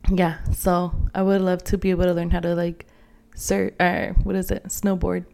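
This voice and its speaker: Woman, podcast co-host, slightly low pitch, soft mellow tone, casual chat, thinking aloud. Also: little pitch movement, moderate pace, vocal fry, a little hiss or hum.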